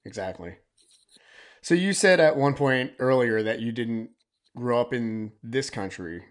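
A man's voice: medium pitch, lively intonation, unhurried. A man hosting an interview in casual conversation, often giving supportive short replies. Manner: supportive, frequent affirming interjections. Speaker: podcast host.

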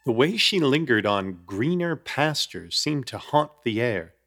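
Young guy narrating a spooky radio play, wry and measured, pretty lively pitch. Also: faint music behind. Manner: wry, measured storytelling with nervous asides. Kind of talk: first-person radio drama narration.

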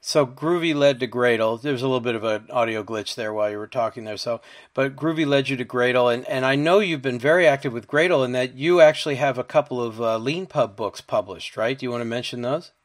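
A man's voice: mid-range voice, quick pace. A man hosting a conversational interview, easygoing and prompting his guest with tag questions. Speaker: interviewer, podcast host.

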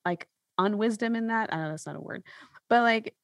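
A woman's voice: mid-range voice, fairly quick, gravelly, no echo, clean studio sound. A woman hosting a podcast in a casual, reflective conversational style, often checking in with a questioning tag as she explains. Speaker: podcast host.

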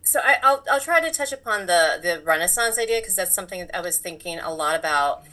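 A woman's voice: fairly low pitch, animated, fairly quick, sharp tone. A woman from Taiwan whose first language is Mandarin, talking casually and reflectively as an artist being interviewed. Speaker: artist, podcast guest.

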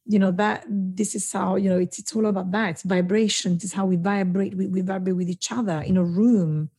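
A woman whose first language is Italian speaks in a warm, soft-edged voice with a breathy texture. She talks effusively in long, winding thoughts as a guest on a podcast. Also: mid-range voice, fairly even intonation, a little fast. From southern Italy. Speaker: guest, founder of a storytelling network. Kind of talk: casual conversational interview.

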